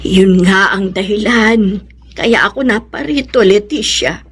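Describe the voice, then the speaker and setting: A woman's voice: mid-range voice, unhurried, slight background noise, close to an everyday voice. A woman playing a concerned, pious elderly mother-in-law in a radio drama, with a Filipino accent. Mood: worried, grave.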